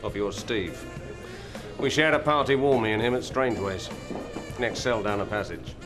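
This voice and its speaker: An actor playing a menacing ex-convict pub landlord, speaking in a sly, needling way. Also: male voice, fairly low pitch, normal speed, music in the background, lightly acted. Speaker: pub owner. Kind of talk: TV drama acting.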